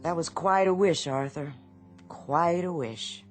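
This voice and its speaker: An actress playing an affectionate but anxious shopkeeper's wife in a radio drama, earnest and expressive. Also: female voice, lowish voice, slow, soft background music. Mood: worried, weary.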